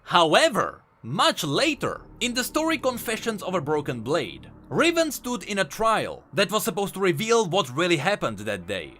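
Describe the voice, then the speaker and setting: Man, video game lore host, high voice, hugely animated intonation, emphatic, explanatory, scripted commentary. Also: normal speed, few pauses. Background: music.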